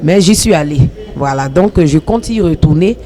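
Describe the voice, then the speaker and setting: A woman actress and comedian from the Republic of the Congo answering interview questions in an earnest, measured way. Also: low-pitched, animated, dark tone, slight background noise. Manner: earnest, measured, speaks about ambition with conviction.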